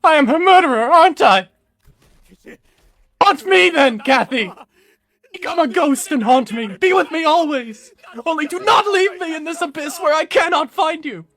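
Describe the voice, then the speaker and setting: A man's voice: moderate pace, fairly bright tone. A man voicing an anguished, self-loathing young man maddened by grief over lost love, in an amateur game dub. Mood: anguished raving. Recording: low-quality amateur voice-chat recording.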